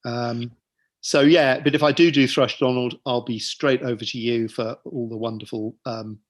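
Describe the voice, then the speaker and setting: A man's voice: mid-range voice, steady tone, moderate pace. A man talking as an author at an online book launch, chatty and self-deprecating, with joking asides, over a video call. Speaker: author and guest. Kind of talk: casual book-launch conversation.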